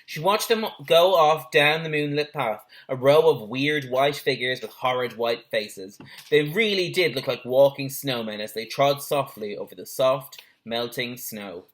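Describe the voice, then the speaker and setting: A man's voice: mid-range voice, unhurried, a bit bright. A man, a teacher, reading a children's story aloud expressively with brief asides.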